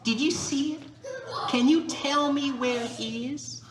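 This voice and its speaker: A man's voice: very high-pitched, slow, reverberant, slight background noise, pitched above the performer's own voice. A man voicing a possessive slave-owner puppet in a folksy, insistent manner for a puppet show. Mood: demanding.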